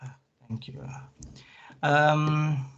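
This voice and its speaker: A man's voice: fairly high pitch, flat delivery, very slow, a bit bright. A young man with an Indonesian accent, a master's student giving an academic presentation carefully with frequent fillers. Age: young adult. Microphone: video call. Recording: muffled video call audio.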